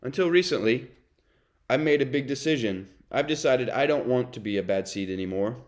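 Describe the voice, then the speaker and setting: A man's voice: mid-range voice, expressive, brisk, close to an everyday voice. A man reading a children's storybook as a brooding, self-confessed bad sunflower seed, in a dramatic, confessional way. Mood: hopeful. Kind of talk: children's read-aloud narration.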